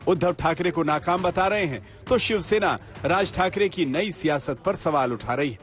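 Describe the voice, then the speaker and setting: A man's voice: on the high side, normal speed. A man reading a scripted TV news report as a voice-over. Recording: voice-over with music bed. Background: background music.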